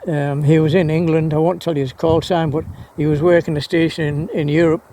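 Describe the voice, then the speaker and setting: A man's voice: fairly high pitch, little pitch movement, brisk, warm tone. A man rambling casually and enthusiastically about his hobby, often repeating words as he hesitates. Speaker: amateur radio hobbyist vlogger.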